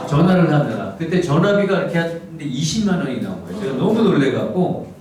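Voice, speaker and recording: A middle-aged man from the Gyeongsang region who speaks with a standard Seoul-area accent, preaching in a soft, humorous storytelling manner. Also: fairly high pitch, sing-song, moderate pace, far from the mic, a crowd in the background. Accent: standard Seoul-area Korean. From Gyeongsang region, South Korea. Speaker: pastor. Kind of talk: lecture-style sermon. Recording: echoey hall miked from afar, background noise.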